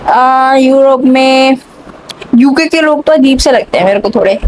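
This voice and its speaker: A woman's voice: high voice, fairly even intonation, a little slow, a little hiss or hum, low-quality recording. A young woman vlogger ranting casually to camera in a sarcastic, chatty way, with a mixed English accent she picked up online.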